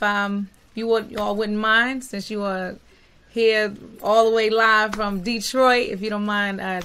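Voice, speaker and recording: A woman's voice: medium pitch, fairly bright tone. A woman co-hosting an interview, who reads a scripted introduction and asks questions with effusive enthusiasm. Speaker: co-host.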